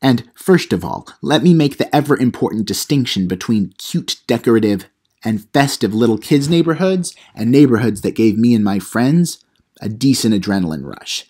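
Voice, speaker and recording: A man's voice: medium pitch, animated, normal speed, a little mellow, breathy edge. A man playing a cheeky, self-important teenage vlogger who gives mock how-to advice in an audio comedy. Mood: storytelling. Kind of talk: comedic how-to vlog monologue.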